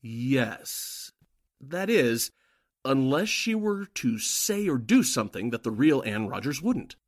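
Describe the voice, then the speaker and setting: An eager male informant played by a man in a wartime radio thriller, respectful to his superiors and quick to fluster. His mid-pitched voice is a little husky and jumps about expressively. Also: big pitch swings. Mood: doubtful, eager. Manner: deferential, earnest, easily flustered. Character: eager, deferential male informant for Nazi agents. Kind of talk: 1940s radio drama acting.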